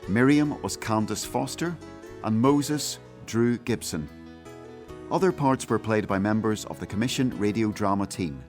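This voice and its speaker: A man's voice: lowish voice, lively intonation, a little slow, darkish tone. A man reading scripted credits as the announcer of a radio drama. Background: music with guitar.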